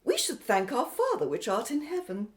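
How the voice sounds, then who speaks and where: A woman's voice: on the high side, wildly swooping pitch, moderate pace. A woman playing a spirited, inquisitive middle-aged British sister in an audio drama, with a theatrical, arch, teasing delivery. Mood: eager, wry.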